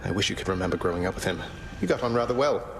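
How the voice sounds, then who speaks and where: Low-voiced wry young prince. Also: male voice, expressive, very fast, creaky, poor audio. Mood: fond, wry. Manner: casual, wry. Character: young underworld prince, easygoing affectionate big brother. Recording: music behind the voice.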